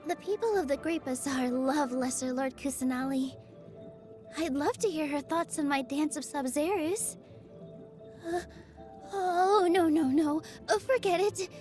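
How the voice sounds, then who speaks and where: Game role: gentle, cheerful young female dancer, high-pitched, earnest, easily flustered, over soft background music. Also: unhurried. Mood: hopeful. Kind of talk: video game character voice lines.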